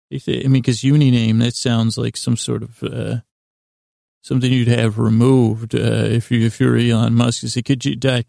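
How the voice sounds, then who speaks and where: A man hosting a bedtime podcast in a rambling monologue, mumbling and stumbling apologetically through halting, meandering, self-deprecating asides. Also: mid-range voice, vocal fry, dark tone, no room sound, clean studio sound. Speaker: podcast host. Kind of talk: rambling bedtime monologue to help listeners sleep.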